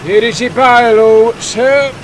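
A man playing a stern, officious railway inspector in a children's story, speaking in a formal, measured way. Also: very high voice, a little hiss or hum, pitched above the performer's own voice.

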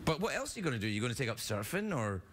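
A middle-aged man with a softened Scottish accent, hosting a late-night talk show with playful, teasing banter. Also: medium pitch, very fast, a crowd in the background. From Scotland. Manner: joking, teasing, lively. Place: TV studio set with audience.

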